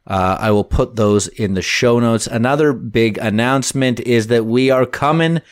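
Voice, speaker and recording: A Canadian man co-hosting a real estate podcast as a mortgage broker, talking in a relaxed, joking way. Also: mid-range voice, normal speed, no echo, clean studio sound. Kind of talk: casual podcast chat.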